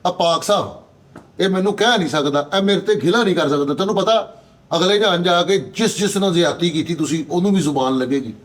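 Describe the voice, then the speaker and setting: A man's voice: sits high, fast. A man with a Punjabi accent chatting casually as a panelist on a comedy talk show.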